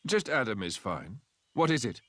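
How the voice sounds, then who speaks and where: A man's voice: medium pitch, big pitch swings, moderate pace, fairly bright tone, no echo. An actor playing a confident, earnest young nobleman in a fantasy game, articulate and encouraging. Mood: composed, decisive.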